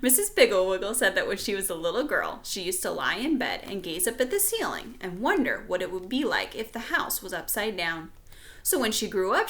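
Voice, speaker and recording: A woman's voice: medium pitch, pushed and bright. A woman teacher reading a children's storybook aloud, with casual explanatory asides addressed directly to young listeners.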